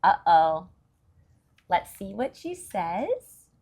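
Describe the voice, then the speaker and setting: A woman's voice: medium pitch, very animated, drawn-out words, darkish tone, husky. A woman reading a story aloud to young children, expressively, with simple explanations along the way. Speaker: children's story reader and host.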